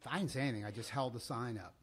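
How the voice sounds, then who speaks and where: A middle-aged man with an American accent and a mid-range voice. He speaks as a podcast co-host, telling a story in a conversational, deliberate way, pausing as he goes. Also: medium pitch, fairly bright tone, slightly rough.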